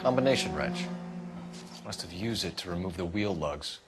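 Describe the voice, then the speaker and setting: A man's voice: low-pitched, lively intonation, moderate pace, loud music behind the voice. An actor playing a serious, formal, by-the-book male police detective in a video game cutscene. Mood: businesslike.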